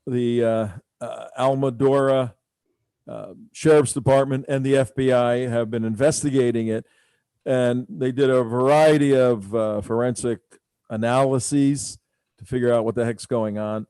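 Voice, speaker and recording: A man's voice: mid-range voice, steady tone, measured pace, dark tone, clear, resonant tone, no echo. A man hosting a radio talk show, speaking casually and rambling with many fillers.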